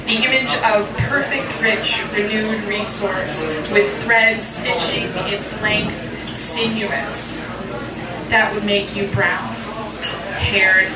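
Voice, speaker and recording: A woman's voice: mid-range voice, fairly even intonation, takes it slowly. Echoey, distant woman poet reading live. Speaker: poet, featured reader. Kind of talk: live poetry reading with informal asides. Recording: echoey, distant mic, loud crowd noise.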